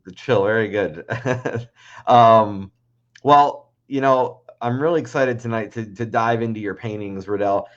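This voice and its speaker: A man's voice: mid-range voice, a little slow. A man hosting a casual livestream interview, asking questions and chatting conversationally. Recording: slightly dull, lo-fi livestream audio.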